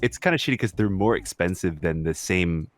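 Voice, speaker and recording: A man's voice: deep voice, lively intonation, fairly quick. A young man co-hosting a podcast in casual chat, talking in a slangy, mildly profane way. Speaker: podcast co-host.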